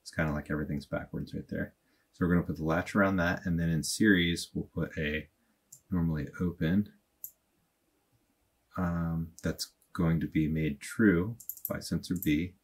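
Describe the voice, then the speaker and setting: A man in his thirties at his desk, walking through a software tutorial and thinking aloud in a plain, unhurried way. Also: low-pitched, steady tone, husky. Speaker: automation tutorial host. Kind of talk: casual screen-recorded tutorial. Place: small indoor room at a desk.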